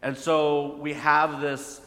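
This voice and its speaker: A man's voice: a little high, completely flat delivery, slow, pushed and bright. A man preaching a conversational sermon, asking the audience questions. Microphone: phone hotspot for slides. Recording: echoey hall reverb.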